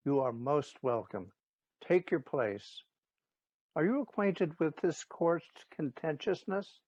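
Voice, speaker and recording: A man's voice: on the high side, big pitch swings, slow, darkish tone, no echo, heavily acted. A man acting a stately, courteous duke presiding over a court in an audio play, formal and authoritative, on a slightly dull recording.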